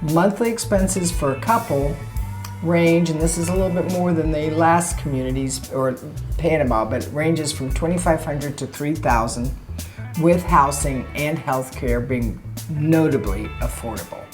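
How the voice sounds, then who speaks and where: A woman's voice: deep voice, moderate pace, a little mellow, faint music behind. A woman co-hosting a casual chat, reading facts from notes and adding chatty asides. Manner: chatty, reads facts then adds asides. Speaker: co-host.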